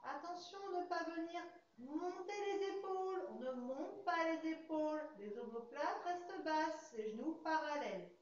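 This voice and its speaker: A young woman with an English accent leading a Pilates class, calmly calling out breaths as she demonstrates, recorded from a far camera in a large studio. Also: very high-pitched, a little slow. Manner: calm, counts breaths while demonstrating. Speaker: Pilates instructor. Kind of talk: guided exercise class. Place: large fitness studio with hard floor, indoors. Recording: echoey studio, dull sound, faint hiss.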